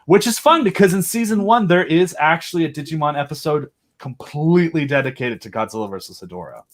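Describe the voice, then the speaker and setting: A man's voice: fairly high pitch, expressive, a little fast. Enthusiastic man rambling about monsters. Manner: enthusiastic, rambling fan talk. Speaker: podcast host and audio drama creator. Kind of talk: casual video chat interview.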